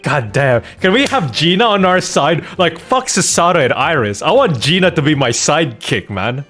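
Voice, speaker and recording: A man's voice: a little high, big pitch swings, moderate pace, fairly bright tone, faint music behind. A man giving casual gameplay commentary as a streamer, slangy and profane in a bantering way. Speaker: game streamer and voice actor.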